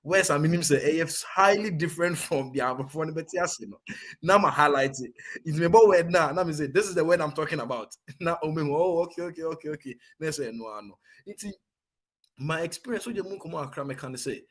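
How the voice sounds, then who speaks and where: Husky Ghanaian soldier, earnest and animated. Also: male voice, on the high side, fairly quick. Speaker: serving soldier. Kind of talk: conversational interview, mixing Twi and English.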